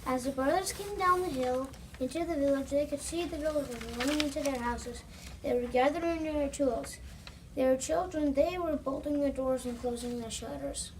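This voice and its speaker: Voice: high voice, moderate pace. A child narrating a scripted story aloud as part of a reader's theater. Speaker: student narrator. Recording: distant mic, noisy room ambience.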